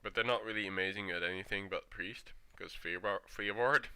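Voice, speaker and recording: A young Dutch man streaming a game and chatting easily between moves. His voice sits a little low but rings bright and crisp, with a clean, smooth tone. He talks in a loose, relaxed way and laughs often. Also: brisk. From Netherlands. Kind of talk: casual gameplay commentary.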